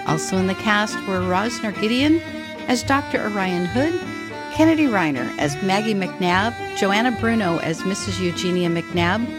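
Female announcer, credits read, lively delivery, loud theme music behind. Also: mid-range voice. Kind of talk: scripted credits reading.

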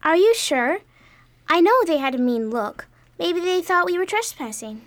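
A woman's voice: very high voice, very animated, moderate pace, no echo. A woman playing a plucky, earnest little girl who speaks matter-of-factly in a radio play.

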